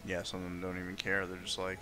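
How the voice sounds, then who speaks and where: A man's voice: deep voice, monotone, fast talker. A man chatting casually and sympathetically in a loose, filler-heavy way. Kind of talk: casual chat.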